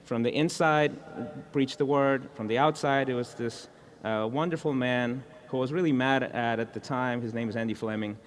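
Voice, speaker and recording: A man from Russia, interviewing a fellow minister in a friendly, halting way with many restarts. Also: medium pitch, fairly even intonation. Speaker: interviewer, evangelist. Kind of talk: conversational interview.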